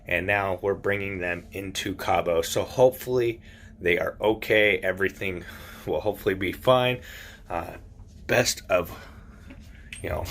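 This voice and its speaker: Guy w a deep raspy voice doing a casual vlog, some background noise on a ship. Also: a bit bright. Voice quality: raspy morning voice. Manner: addresses viewers directly, informal. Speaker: vlogger. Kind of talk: casual vlog chat to camera. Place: aboard a cruise ship.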